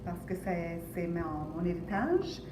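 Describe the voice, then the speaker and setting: A woman's voice: on the low side, big pitch swings, takes it slowly. Grateful woman, roomy. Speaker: interviewee. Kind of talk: vox-pop testimony. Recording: distant, roomy, noisy recording.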